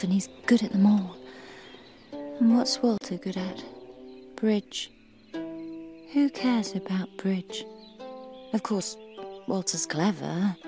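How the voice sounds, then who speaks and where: An actress playing a restless, discontented young wife, confiding her thoughts in an intimate, brooding, often hushed radio drama monologue. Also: mid-range voice, creaky. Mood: infatuated. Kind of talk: first-person dramatic monologue.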